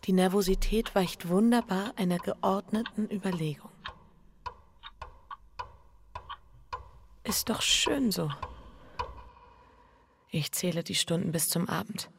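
An actress playing an anguished, guilt-ridden bourgeois wife in a radio drama, speaking with breathy, introspective intensity. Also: female voice, mid-range voice, slight background noise, natural voice. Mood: brooding, uneasy. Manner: intense, introspective, pleading, halting repetitions. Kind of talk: German radio drama, intimate monologue and confession.

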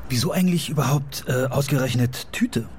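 A man's voice: mid-range voice, a little fast, a little hiss or hum, natural voice. An actor playing a patient policeman questioning a child in a naturalistic radio play, with a probing, slightly hesitant manner. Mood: puzzled, insistent.

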